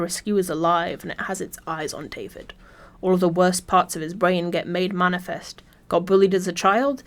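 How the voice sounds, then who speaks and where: A woman reading a scripted video essay in an informal way, with wry, dry asides. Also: mid-range voice. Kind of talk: scripted video essay narration with casual humour.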